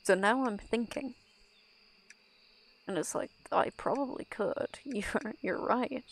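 Slow, gravelly young woman streamer. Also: on the high side. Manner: rambling, self-interrupting, laughs often. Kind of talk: casual gameplay chat.

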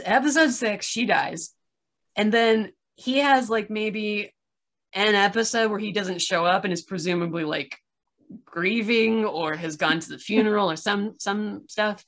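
Wry, animated woman chatting casually. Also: mid-range voice. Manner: animated storytelling with acted-out asides and wry exasperation. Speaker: podcast reviewer in a video chat. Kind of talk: casual chat, opinionated recounting. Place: small room at home, on a video call.

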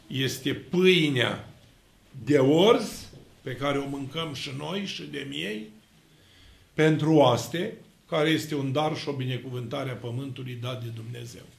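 A middle-aged man from Romania, a priest, giving an emphatic sermon with slow, stressed words. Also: mid-range voice, gravelly. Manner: emphatic preaching with slow, stressed words and lively hand gestures. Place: church with icons, indoors. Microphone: fixed camera, mid shot. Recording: echoey church room.

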